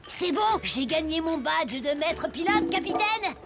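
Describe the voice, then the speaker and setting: A woman voicing an excitable, easily discouraged toy character in a children's cartoon. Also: extremely high pitch, a little slow, exaggerated voice. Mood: excited. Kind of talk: animated children's cartoon acting. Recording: music behind the voice.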